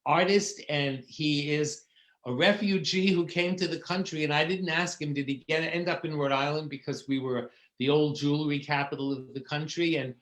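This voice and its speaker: A man's voice: a little high, reverberant. A man hosting a video call, introducing a guest in a rambling, enthusiastic way. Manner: rambling, enthusiastic, warm praise. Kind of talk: casual spoken introduction. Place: living room.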